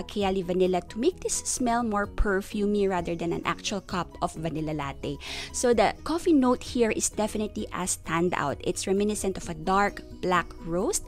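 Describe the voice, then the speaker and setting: A woman's voice: medium pitch, a little mellow. Reviewer, female, young adult, from the Philippines: eager, descriptive delivery over faint background music. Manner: enthusiastic, descriptive, stretches words for emphasis. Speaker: perfume reviewer. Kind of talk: friendly scripted product review.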